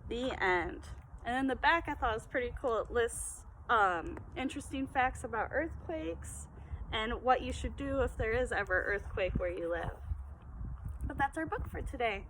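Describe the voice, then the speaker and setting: A woman's voice: a little high, lively intonation, moderate pace, a bit bright. A woman presenting for children in a read-aloud and casual demonstration style, with a noisy recording. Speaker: storytime presenter.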